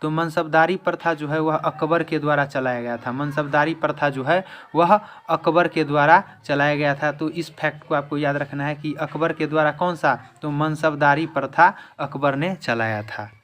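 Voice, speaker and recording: A man's voice: fairly high pitch, steady tone, normal speed, few pauses, fairly bright tone. A man giving a quiz-style history lesson as an exam-prep teacher, restating each question and answer.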